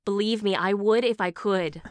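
A woman's voice: mid-range voice, normal speed, darkish tone, smooth, no room sound, lightly acted. A woman playing a bubbly, affectionate aunt who speaks fondly and with emphasis. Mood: fond, wry. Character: bubbly, affectionate aunt, former game show champion.

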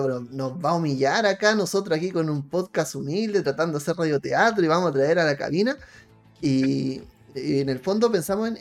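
A man with a Chilean accent co-hosting a casual podcast, telling stories by acting out reactions. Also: a little high. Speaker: podcast co-host. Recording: faint music behind. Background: faint music.

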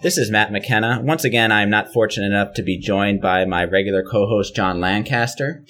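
A man hosting an interview podcast, introducing his guest in a measured, formal way. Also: fairly low pitch, fairly bright tone. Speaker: podcast host.

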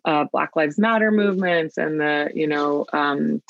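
An earnest woman speaks in a relaxed, conversational way, with frequent fillers and restarts as she gathers her thoughts. Her voice has a gravelly fry to it. The recording is dry and slightly dull, like a home microphone. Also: deep voice, measured pace, no echo. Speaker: product team member. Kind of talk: casual conversational interview.

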